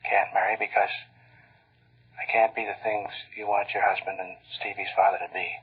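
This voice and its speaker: Resigned ordinary American husband, tinny old radio. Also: male voice, on the low side, flat delivery, normal speed, slightly rough. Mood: sorrowful, resigned. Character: discouraged, humble young husband and father leaving home. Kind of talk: 1940s radio drama acting. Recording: muffled old radio broadcast, music underneath.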